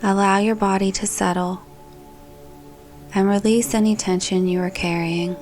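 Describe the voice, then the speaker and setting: A woman's voice: mid-range voice, moderate pace. Woman guiding meditation over music. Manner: calm, gentle, soothing delivery. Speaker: meditation guide. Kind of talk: guided meditation narration, scripted. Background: background music.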